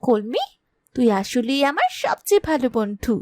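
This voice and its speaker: A woman plays an innocent, affectionate young cartoon girl. Her voice is high and swoops expressively, recorded close and dry. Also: wildly swooping pitch, normal speed, darkish tone, no room sound, full character voice. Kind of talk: Bengali fairy-tale cartoon dubbing.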